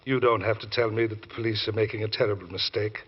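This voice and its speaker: Husky, avuncular English solicitor. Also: male voice, fairly low pitch, fairly even intonation, fast talker. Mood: soothing, brisk. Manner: avuncular, proper, swooping emphasis. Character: fussy, reassuring older English male solicitor, avuncular. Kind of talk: 1940s radio acting. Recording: muffled old radio recording.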